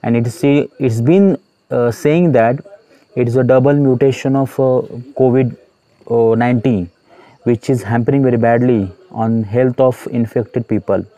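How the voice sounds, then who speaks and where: A man's voice: medium pitch, warm tone, low-quality recording. A man with an Indian English accent gives an earnest motivational talk to his students as a YouTube host, with many hesitations and repeated phrases. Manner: earnest, repetitive, many "uh" pauses.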